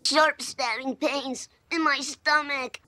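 Bright, high, slow-speaking woman. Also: steady tone.

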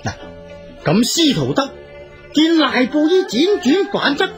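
A woman's voice: high voice, sing-song, measured pace. A woman narrating a radio drama as a storyteller, with Hong Kong Cantonese speech. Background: music.

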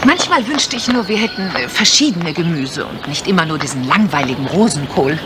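An actress playing an eager, clever young English wife in a German radio crime drama, speaking in an animated, bantering, exclamatory way. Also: female voice, lowish voice, a little fast. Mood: sly, chatty. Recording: noisy vintage radio play recording.

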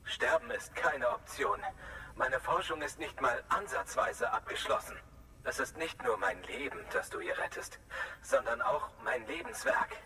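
A man's voice: medium pitch, a little slow, pushed and bright, distant mic, a little hiss or hum, rough recording. An actor playing an anxious, relieved male scientist in a dubbed video game, speaking earnestly and confidingly. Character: anxious, relieved male scientist hologram, devoted to his research.

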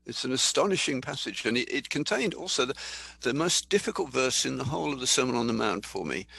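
An older man preaches in a calm, conversational teaching voice with a gravelly edge and a bright, forward tone. He slows down when he reads passages aloud. The sound is dry and close, recorded indoors. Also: medium pitch, dry recording. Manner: thoughtful, conversational, reads scripture aloud slowly. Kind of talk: sermon teaching.

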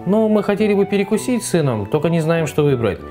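A man voicing a forgetful, flustered father figure in a toy story, excitable and exclaiming. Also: on the high side, fast, music in the background. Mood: polite.

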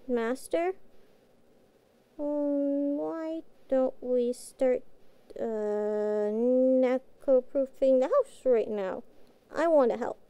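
A woman's voice: high-pitched, creaky, mellow, clean tone, dry recording, voice pushed up, exaggerated voice. A woman voicing a timid, eager young cat girl devoted to her master in a fantasy audio roleplay, hesitant and pleading like a child.

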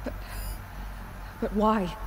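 An actress playing a young explorer heroine in a video game, curious and troubled, questioning in a hushed way. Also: female voice, extremely slow, noisy background. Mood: troubled wonder. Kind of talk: scripted video game dialogue.

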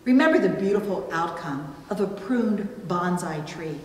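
A middle-aged woman preaching a sermon from a pulpit, with deliberate, emphatic phrasing and pauses. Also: on the low side, expressive. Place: indoors at a church pulpit. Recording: roomy, reverberant church, distant, faint noise.

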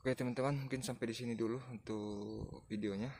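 A man's voice: mid-range voice, steady tone, brisk, a little mellow. A man with an Indonesian accent filming a casual motorbike travel vlog outdoors, chatting easily with hesitant fillers. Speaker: motovlogger. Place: outdoors under a wooden beach shelter. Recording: outdoor camera with clear background noise.

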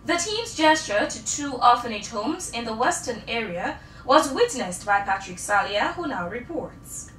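Young woman reading the news, crisp bright voice, roomy echo, bit of rumble. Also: animated, unhurried, few pauses. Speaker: news anchor. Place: indoor news studio. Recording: echoey room with faint rumble.

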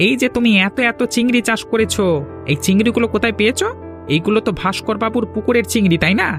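Cartoon sidekick: shrill-high, quick, hectoring, accusing village busybody. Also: male voice, a bit bright, soft background music. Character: meddling, accusing male sidekick of a village boss. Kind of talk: cartoon voice acting.

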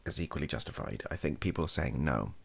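A young British man, softly spoken, rambling hesitantly through an opinion monologue in a gravelly, husky voice and often trailing off. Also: very deep voice, lively intonation, a little fast. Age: young adult. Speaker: ASMR YouTuber. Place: small home room, indoors.

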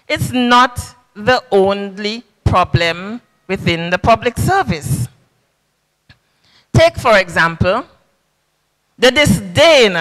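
Woman in her fifties, lay sermon, slow and bright, handheld mic in a reverberant hall. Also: fairly high pitch. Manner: emphatic, preacherly, with sing-song cadences and repetition. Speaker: government official preaching a sermon. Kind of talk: sermon at a public service church service. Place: large indoor hall at a podium. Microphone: handheld microphone. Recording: long reverb in a large hall.

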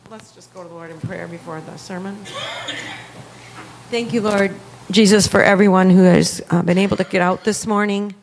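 A woman's voice: mid-range voice, steady tone, moderate pace. A woman leading spontaneous prayer in church, hesitant and plain-spoken. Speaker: prayer leader before the sermon. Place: church sanctuary, indoors. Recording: echoey hall with faint noise.

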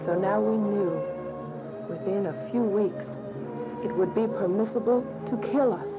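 A woman's voice: mid-range voice, measured pace, lightly acted. A woman playing an earnest, devout, anxious woman who narrates and speaks in a radio drama. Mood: solemn, reflective. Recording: muffled radio drama with music behind.

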